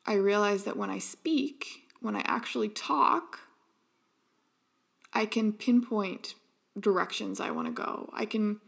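A woman in her thirties talks through a personal story as a podcast host. Her voice is gravelly with a fry at the ends of phrases, and her pitch sits mid-range. She speaks earnestly and often pauses on ums and restarts. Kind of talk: reflective personal monologue.

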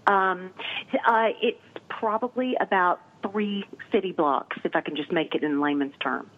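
Low-voiced Southern woman on a phone line. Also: unhurried. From Nashville, Tennessee. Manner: chatty, laughs often, restarts. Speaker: cemetery tour guide. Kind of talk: casual chat.

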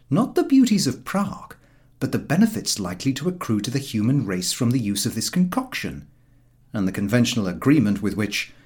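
Man narrating with lively, swooping intonation. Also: mid-range voice. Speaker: audiobook narrator. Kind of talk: scripted narration of a humorous story.

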